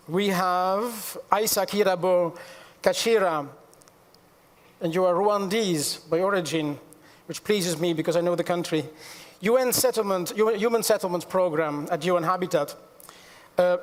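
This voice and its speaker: A middle-aged man chairs a panel in a large auditorium, his fairly high voice carried by a long hall reverb. He speaks formally, corrects himself mid-phrase and drops in dry little asides. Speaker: panel moderator. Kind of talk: conference panel moderation.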